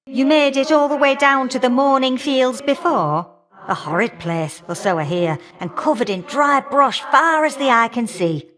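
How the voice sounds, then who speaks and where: An actress voicing a warm, feisty old great-aunt fire goddess for a video game, with folksy, teasing, old-fashioned talk. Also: female voice, high-pitched, normal speed. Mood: reflective, frank. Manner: folksy, teasing, old-fashioned turns of phrase, calling listeners dearie. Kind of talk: video game character acting.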